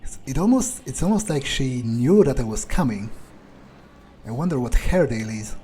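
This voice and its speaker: A man's voice: mid-range voice, sing-song, normal speed, warm tone, slight background noise. A man role-playing his character in a video game playthrough, thinking aloud in a musing, hesitant, sardonic way. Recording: faint background game ambience.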